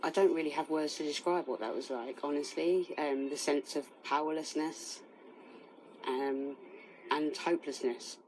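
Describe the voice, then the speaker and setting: Serious woman giving halting testimony. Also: deep voice, fairly even intonation, darkish tone, a little hiss or hum, rough recording. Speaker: interviewee. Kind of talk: personal testimony in interview.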